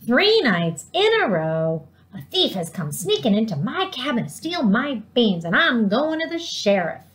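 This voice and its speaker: A woman voicing a feisty, stubborn old granny in a storybook, with folksy, emphatic drawn-out exclamations. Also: a little high, very animated. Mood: indignant, determined.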